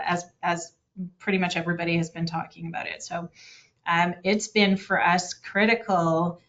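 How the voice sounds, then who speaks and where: A woman from the Toronto area speaks as a webinar panelist in a low, level voice. She picks her words thoughtfully, with plenty of fillers and restarts, over dull, muffled video call audio. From Toronto area, Canada. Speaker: marketing leader, guest.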